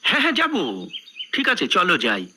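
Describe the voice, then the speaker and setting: A man's voice: high-pitched, huge pitch swings, normal speed, bright, forceful tone, a little hiss or hum, heavily acted. A man voicing a shy village schoolboy, eager and stammering, in a cartoon. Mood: flustered. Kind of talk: cartoon dialogue.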